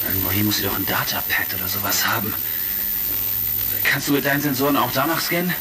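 An actor playing a casual, wry space hero commander in a retro sci-fi radio play, talking in short informal lines. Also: male voice, on the low side, fast talker, vocal fry. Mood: focused. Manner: informal, clipped, calls partner buddy. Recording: crackly vintage radio-play record. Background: music.

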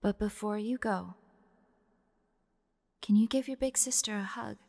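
Audio drama: woman as tender spirit of a protective older sister, soft creaky voice in long ghostly reverb. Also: medium pitch, a little slow. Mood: loving farewell. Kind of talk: audio roleplay acting.

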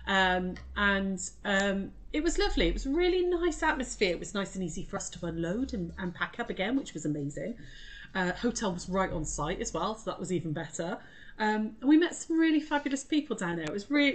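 Lady with a cold, all snuffly, bubbly craft live stream chat on a webcam, greeting people by name. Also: mid-range voice. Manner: bubbly, greets viewers by name, laughs often. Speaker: craft shop owner hosting a live sewing tutorial. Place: home sewing studio. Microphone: webcam at desk.

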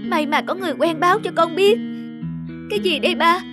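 A woman's voice: extremely high pitch, brisk, music in the background. A woman voicing an indignant, protective young daughter in a cartoon drama, emphatic and reproachful. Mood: worried reproach.

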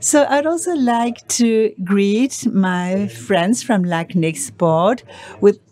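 A woman giving measured live interpretation of a conference speech. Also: medium pitch, warm tone. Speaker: simultaneous interpreter. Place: conference hall.

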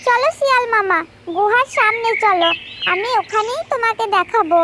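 A woman's voice: extremely high pitch, normal speed, heavy background noise. A woman voicing a small, cheeky, clever goat in a children's cartoon fable. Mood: cheeky, confident.

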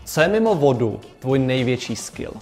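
Male interviewer, medium pitch, relaxed phrasing, informal and teasing questions. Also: soft background music. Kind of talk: casual off-camera interview questions. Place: indoor studio, off camera.

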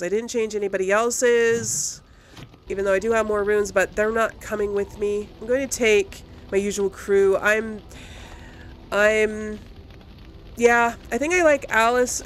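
A woman's voice: medium pitch, slight background noise. A woman giving casual, chatty gameplay commentary to her viewers, often thinking aloud. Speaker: host of a video game let's play series.

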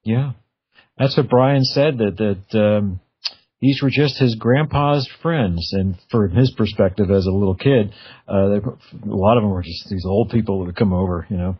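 Low husky man, phone-like sound. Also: moderate pace. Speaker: radio show host. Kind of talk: casual conversational interviewing. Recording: narrow, phone-like radio sound.

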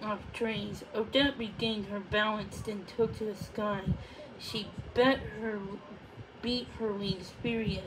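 A woman reading a children's book aloud, haltingly, stumbling over words and repeating them. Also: mid-range voice, fairly even intonation, noisy background. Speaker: storybook reader.

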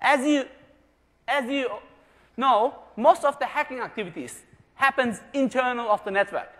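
A man with a very high voice presents to a live conference audience, cracking jokes and firing questions at the room. He grew up in Germany. His voice rings out in a large hall with a long, trailing reverb. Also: moderate pace, fairly bright tone. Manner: jokey, asks the audience questions.

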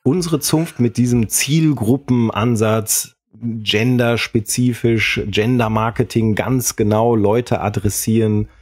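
A man's voice: mid-range voice, steady tone, slow, darkish tone. A man co-hosting a podcast, chatting casually and often correcting himself as he explains. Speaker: podcast co-host.

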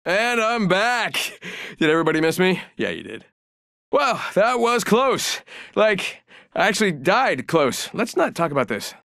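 A man's voice: high-pitched, very animated, measured pace, vocal fry, sharp tone, no room sound, studio quality, exaggerated voice. An actor playing a cocky, glib showman fighter who rambles and jokes, in a video game. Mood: smug.

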